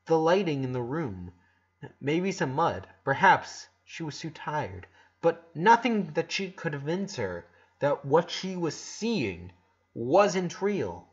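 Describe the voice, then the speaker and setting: A man's voice: on the high side, very animated, slow, breathy edge, lo-fi sound. A man narrating a story aloud as an amateur audiobook reader.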